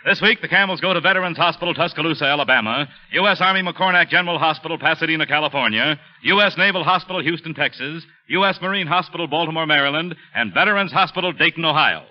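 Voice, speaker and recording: A man's voice: a little high, fast talker, few pauses, bright, forceful tone. A man announcing a sponsor message in a scripted 1940s radio style. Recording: noisy old radio, audience behind.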